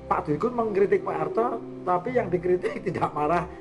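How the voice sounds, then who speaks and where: Male discussion guest, fairly high pitch, halting explanatory delivery, clear music behind. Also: expressive. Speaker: guest speaker on cartoon art. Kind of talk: conversational interview discussion.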